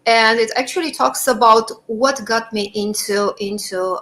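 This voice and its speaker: A woman from Romania, an English speaker, answering interview questions thoughtfully over a video call, pausing with fillers and laughing easily. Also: on the high side, steady tone, a bit bright. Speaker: author guest.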